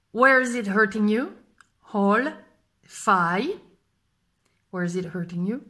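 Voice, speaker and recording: A woman in her thirties teaching a language lesson to camera, repeating each phrase slowly and clearly, filmed close up indoors. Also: medium pitch, pushed and bright. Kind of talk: slow vocabulary lesson. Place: indoors in front of a plain gray wall. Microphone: close camera framing, face fills frame.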